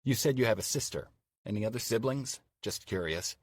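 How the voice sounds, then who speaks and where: A man's voice: on the low side, lively intonation, fairly quick, slightly rough, no room sound, studio quality. A man narrating an audiobook as a courteous, chatty older single man writing courtship emails.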